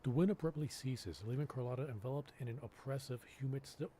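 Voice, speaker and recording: Male streamer with a dark, mellow voice. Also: mid-range voice, quick pace, a little hiss or hum. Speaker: game streamer. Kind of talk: live gameplay commentary, reading text aloud.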